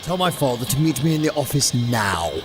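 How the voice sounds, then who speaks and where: A man's voice: mid-range voice, lively intonation, brisk, music in the background, close to an everyday voice. An actor playing a curt, commanding male villain in an audio drama. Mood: scheming. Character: curt, scheming villain, son of a company boss.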